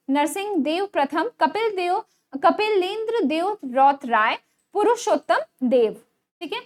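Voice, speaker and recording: A woman with an Indian accent and a very high, swooping voice gives an online exam lesson. She reads out questions and choices in an instructional way, then repeats the key answer and checks in often. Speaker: online exam-prep teacher. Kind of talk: quiz lesson, reading questions and options then giving answers.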